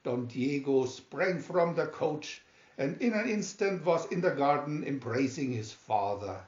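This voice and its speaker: A man reading a scripted storybook narration in a deliberate manner, in a dull, roomy recording. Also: on the high side, expressive, darkish tone. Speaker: storybook reader. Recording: dull, echoey room recording.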